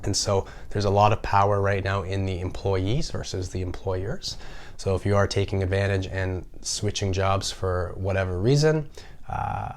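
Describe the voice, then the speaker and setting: A man with a slightly raspy voice from a recent cold, talking casually as the host of an informational vlog. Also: sits low. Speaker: personal finance video host.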